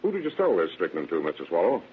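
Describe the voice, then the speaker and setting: Brisk, low-voiced confident sheriff. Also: male voice, wildly swooping pitch, breathy edge, exaggerated voice. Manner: firm, matter-of-fact, commanding. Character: confident, dogged male sheriff, brisk and authoritative. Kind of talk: old-time radio drama acting. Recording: tinny narrow-band vintage radio recording.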